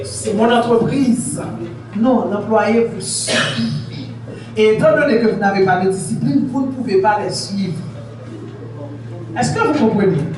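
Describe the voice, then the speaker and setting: A preacher delivering a sermon to a congregation. The preacher is emphatic, repeats points and asks the congregation questions. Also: mid-range voice. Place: church hall in Haiti. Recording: very echoey, distant, noisy church recording.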